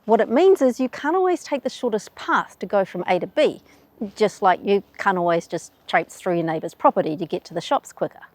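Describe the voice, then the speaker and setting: A woman with a New Zealand accent hosting a travel explainer in a brisk, wry, plainspoken way. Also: mid-range voice, animated, dry recording. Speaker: travel video host. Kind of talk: scripted explainer.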